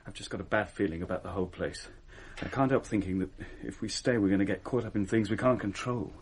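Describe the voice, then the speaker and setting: An actor playing an earnest, uneasy young British boyfriend in a radio play, speaking in a hesitant, pleading manner. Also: male voice, lowish voice, fast, breathy edge. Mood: uneasy, hushed. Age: young adult.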